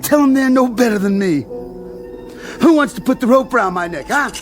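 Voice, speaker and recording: An actor playing a defiant condemned killer who taunts and shouts at the crowd around the gallows, over background music. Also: male voice, very high voice, expressive, brisk. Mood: taunting. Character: defiant condemned male killer facing hanging.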